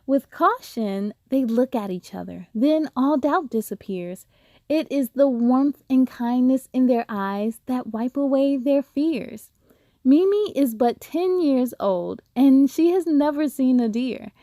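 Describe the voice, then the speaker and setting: A woman hosting a children's read-aloud, speaking in an expressive, deliberate, sing-song way to young listeners. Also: high-pitched, no room sound. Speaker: host of a read-aloud story series. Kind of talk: expressive children's storybook reading.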